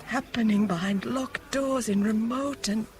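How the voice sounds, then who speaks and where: A woman's voice: fairly high pitch, a little slow, close to an everyday voice. An actress playing a jittery, evasive young woman, a rich man's mistress, in a radio drama, articulate and defensive. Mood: guarded, wistful. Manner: tense, defensive, articulate and wistful. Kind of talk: 1970s British radio drama acting.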